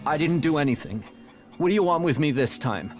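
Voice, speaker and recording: A man's voice: on the high side, animated, fairly quick, a little hiss or hum, natural voice. A man playing a surly, defiant troublemaker in a video game, talking in a belligerent, sneering way. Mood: defiant, irritated. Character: surly, defiant male city troublemaker hounded by authority. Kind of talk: video game dialogue acting.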